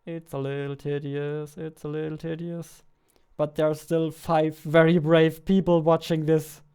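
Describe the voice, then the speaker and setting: A man's voice: a little high, flat delivery, measured pace, dark tone, smooth. A man in his twenties chatting casually on a livestream as he works, muttering to himself and repeating phrases. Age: 20s. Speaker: game developer livestreamer. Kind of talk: casual livestream chat while working. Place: indoor room at a computer desk. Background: keyboard typing and mouse clicks.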